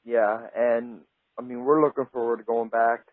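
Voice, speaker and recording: A man's voice: medium pitch, level tone, moderate pace, no room sound. A male radio host chatting casually with a guest, friendly and full of praise. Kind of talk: casual interview chat. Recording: tinny phone-like line, dry.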